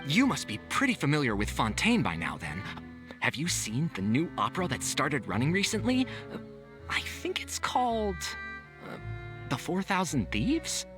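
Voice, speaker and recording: A man's voice: fairly high pitch, wildly swooping pitch, moderate pace, over music. A man voicing a friendly, hesitant young man in a video game, trailing off as he chats.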